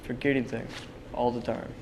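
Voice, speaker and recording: A man acting in a play reading as a frightened, confused man losing his memory, subdued and halting, in a reverberant, noisy hall. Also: medium pitch, level tone, natural voice. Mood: scared. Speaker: drama student actor. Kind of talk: dramatic reading of a stage play. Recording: reverberant hall with background noise.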